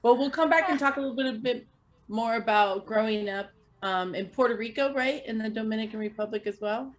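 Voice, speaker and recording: A woman hosting a live music interview show, bubbly and quick to laugh. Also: a little high. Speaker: radio host and interviewer. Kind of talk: casual interview chat.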